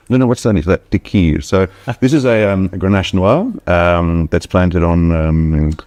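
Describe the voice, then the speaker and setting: A middle-aged man with an Australian accent softened by Afrikaans and southern British touches, his voice sitting very low. He talks loosely, full of ums and false starts, and the recording is close and dry. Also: dry recording. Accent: Australian, with slight Afrikaans and southern British touches. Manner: rambling, many ums and restarts. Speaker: wine importer guest. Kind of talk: casual interview chat. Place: hotel room.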